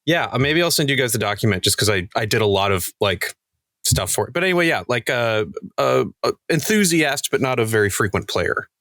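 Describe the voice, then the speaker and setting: A man's voice: medium pitch, animated, fairly quick, fairly bright tone, no room sound. A man chatting casually as a podcast cast member, with a wry, dry sense of humor. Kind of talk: casual chat.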